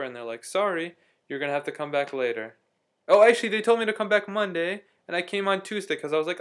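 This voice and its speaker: A man's voice: on the high side, fairly bright tone. A man talking casually in a video diary, rambling through a story with lots of ums and restarts. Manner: rambling, chatty, many fillers and restarts. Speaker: vlogger. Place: living in Istanbul.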